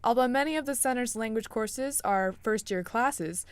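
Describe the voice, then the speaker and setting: A woman's voice: a little high, normal speed, clear, resonant tone, no room sound. Upbeat young woman reading a news report. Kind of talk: scripted news narration.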